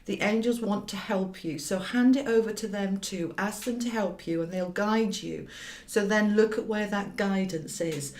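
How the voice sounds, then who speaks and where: A woman with a slightly croaky voice giving an informal tarot reading, speaking directly to viewers with emphatic repetition. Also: mid-range voice, moderate pace, a little mellow. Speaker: tarot reader and hypnotherapist. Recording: roomy, echoey sound.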